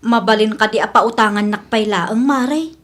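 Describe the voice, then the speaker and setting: A woman playing an eager, pleading young daughter in an Ilocano radio drama, talking in a lively, reassuring way. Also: medium pitch, moderate pace, natural voice. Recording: music behind the voice.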